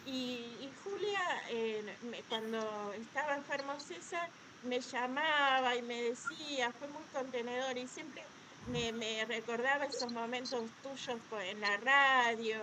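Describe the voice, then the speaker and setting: A woman's voice: on the high side, measured pace. A woman with a Buenos Aires Argentine accent, casually reminiscing as a co-host at a talk. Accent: Buenos Aires Argentine Spanish. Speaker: workshop teacher, co-host. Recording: noisy room with clear background noise.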